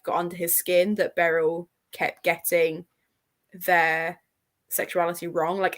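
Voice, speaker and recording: A woman with a slightly low voice chats casually about books. Her phrasing is informal and full of slang, as in a relaxed reading group. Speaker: participant in a live book readalong discussion.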